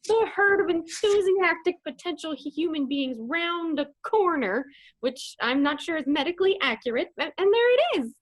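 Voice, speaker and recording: Female comedy narrator, high and lively, mock-sweet, sassy, sarcastic scripted delivery. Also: normal speed, poor audio. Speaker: comedy troupe performer, narrator.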